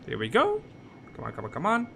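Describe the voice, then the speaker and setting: A man's voice: sits high, huge pitch swings, fairly quick, vocal fry, fairly bright tone, a little hiss or hum. A man giving a casual, rambling game walkthrough, often repeating himself in a conversational way. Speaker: gaming video host.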